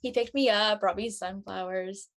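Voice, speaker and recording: A woman playing an upbeat teenage high school girl in a conversational audio play. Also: steady tone, moderate pace, a bit bright, close to an everyday voice. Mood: bright, friendly.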